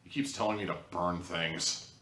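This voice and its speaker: A man's voice: sits low, moderate pace, bright, forceful tone. A man giving a casual, humorous review to viewers, rambling along with wry asides and mock-dramatic emphasis. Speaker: audio drama reviewer, show host. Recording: roomy echo, distant mic.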